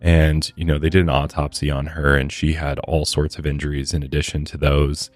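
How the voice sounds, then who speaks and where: A man hosting a podcast, telling a true crime story conversationally in a measured, matter-of-fact way with casual asides. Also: extremely low pitch, flat delivery, dry recording, faint music behind. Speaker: podcast host.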